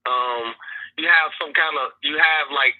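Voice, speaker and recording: A man calling in to a podcast over the phone, speaking earnestly and haltingly with many fillers. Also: a little high, steady tone. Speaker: guest calling in. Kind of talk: casual podcast conversation. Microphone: phone call. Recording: tinny phone line, husky.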